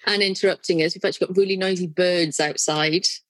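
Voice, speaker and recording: Host: Welsh woman, lowish voice, chatty and friendly, dry close mic. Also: steady tone, fast, fairly bright tone, dry recording. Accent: light, mixed North Welsh. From North Wales. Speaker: podcast host. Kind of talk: casual podcast interview.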